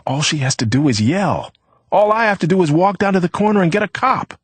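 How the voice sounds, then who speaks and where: A husky-voiced man playing an exasperated young man who coaxes and urges in a storybook reading. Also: fairly low pitch, very animated, normal speed, dry recording, pitched above the performer's own voice. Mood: urgent. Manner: urgent, coaxing. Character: exasperated young man trying to keep calm.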